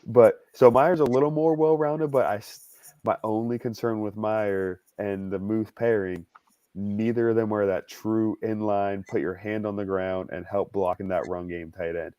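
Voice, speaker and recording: A man's voice: lowish voice, steady tone, normal speed, warm tone, no echo. A man in his twenties giving casual football analysis as a draft analyst. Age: 20s.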